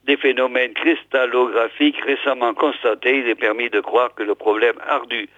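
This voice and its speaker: A hoarse man hosting a regional radio show, hesitantly introducing and reading out old press articles with many fillers and restarts. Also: mid-range voice, fairly even intonation, normal speed, dry recording. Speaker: radio host. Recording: tinny phone-like radio sound, dry.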